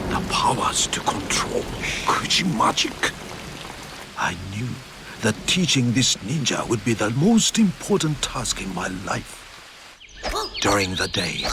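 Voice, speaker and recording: A man voicing an ancient ninja master who narrates a game's story in a theatrical storybook manner, wise, fond and wistful, with measured dramatic pauses. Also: medium pitch, very noisy. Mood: solemn, reverent, then wise, wry. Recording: music behind the voice. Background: music.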